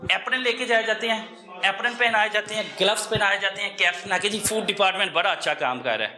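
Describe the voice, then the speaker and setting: A man's voice: high voice, quick pace, bright, forceful tone. A man with a Lahore Pakistani accent reporting on the spot in a confrontational, scolding and insistent way, repeating himself. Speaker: TV reporter on a food hygiene raid. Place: small food workshop, on location. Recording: echoey room with busy people around.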